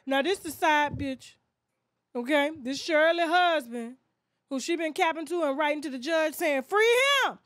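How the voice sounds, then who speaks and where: High-pitched, sassy woman gossip host. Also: normal speed, a bit bright, no room sound, studio quality. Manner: blunt, dramatic, mocking. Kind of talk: sassy reaction commentary. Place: small indoor studio set. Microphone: stand microphone up close.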